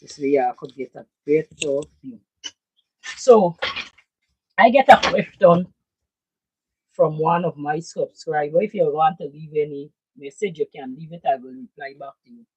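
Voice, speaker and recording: Hoarse lady doing a sewing tutorial, raspy voice, chatty, keeps saying right?. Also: low voice, measured pace, darkish tone, no echo, rough recording. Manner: chatty, repeats points, keeps asking "right?". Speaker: sewing and pattern-drafting teacher. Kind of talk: casual live tutorial. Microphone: camera set up in front of her.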